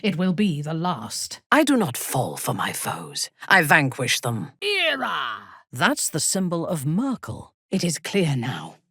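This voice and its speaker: A woman playing a ruthless, proud female dark elf warrior in a video game, speaking in a haughty, deliberate, menacing way. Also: low-pitched, wildly swooping pitch, husky, no echo, clean studio sound, heavily acted. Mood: menacing, contemptuous, then sharp, commanding. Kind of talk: video game character dialogue.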